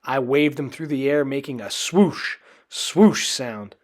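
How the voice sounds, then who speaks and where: A man reading a children's storybook aloud in an expressive, dramatic way. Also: medium pitch, takes it slowly. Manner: expressive, dramatic delivery with acted character voices. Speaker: storybook reader.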